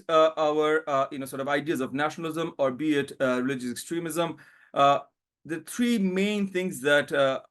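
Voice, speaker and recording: A man from Bangladesh, giving a conference presentation over a video call in a thoughtful way, often pausing with fillers. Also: on the high side, fairly bright tone. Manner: thoughtful, hedging with frequent fillers. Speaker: panel speaker, academic.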